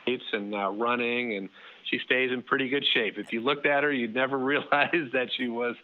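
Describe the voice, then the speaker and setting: A middle-aged man telling a family story as an interview guest, relaxed and full of fillers and restarts. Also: medium pitch, normal speed, phone-quality sound, background noise.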